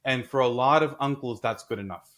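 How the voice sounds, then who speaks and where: Animated man in casual talk. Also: medium pitch, fairly quick. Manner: animated, storytelling with acted quotes. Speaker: podcast host. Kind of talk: casual discussion.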